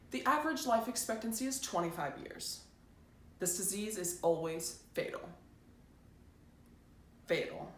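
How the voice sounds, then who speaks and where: A woman's voice: fairly low pitch, recorded from a distance. A woman performing a stage monologue as a plucky, defiant teenage girl, chatty and emphatic. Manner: chatty, self-dramatizing, emphatic. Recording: roomy echo, faint background noise.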